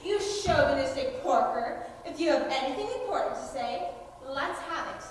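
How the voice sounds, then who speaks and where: A brash female outlaw chief, acted by a young woman in a stage comedy. Her high voice is projected with theatrical scorn. It rings through a very echoey hall, caught from a distance with faint noise. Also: lively intonation, normal speed. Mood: taunting. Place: school auditorium stage.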